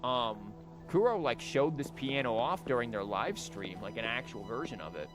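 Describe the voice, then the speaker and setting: A man's voice: mid-range voice, animated, moderate pace. Rambling male game streamer over music. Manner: rambling, joking asides. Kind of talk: casual live game commentary, reading dialogue aloud. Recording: music behind the voice.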